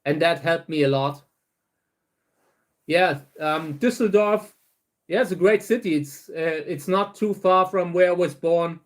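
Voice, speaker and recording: A man hosts a relaxed livestream and chats with his viewers. His voice sits fairly high for a man. He rambles along at an easy pace, often restarting words. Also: a little slow. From Germany. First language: German. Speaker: host of a livestream channel. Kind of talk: casual livestream chat, answering viewers.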